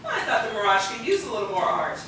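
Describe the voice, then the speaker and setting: An actress playing a sassy, affectionate young wife and artist in a stage play, with teasing phrasing. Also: female voice, a little high, big pitch swings, fast, noisy background. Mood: playful, sassy. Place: theatre stage, videotaped live from a distance. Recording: echoey theatre hall, distant camera mic.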